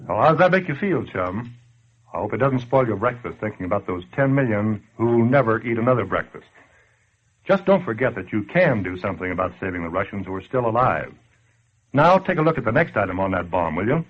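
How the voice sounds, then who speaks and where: Tinny old radio: male actor as sardonic American wise guy, low swooping voice, needling the listener. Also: animated, fairly quick, slight background noise, natural voice. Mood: grim reproach. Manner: slangy, sardonic, badgering. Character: brash wisecracking male narrator needling the listener at home. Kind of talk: 1940s radio acting, direct address. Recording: tinny, band-limited old radio broadcast.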